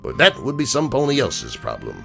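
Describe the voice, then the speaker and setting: A man playing a gruff, streetwise crook horse uncle who tells bedtime stories in a slangy, cynical, digressing way. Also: medium pitch, huge pitch swings, normal speed, sharp tone, exaggerated voice. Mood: sly. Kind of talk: dramatic reading of a comic bedtime story. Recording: music bed behind the voice.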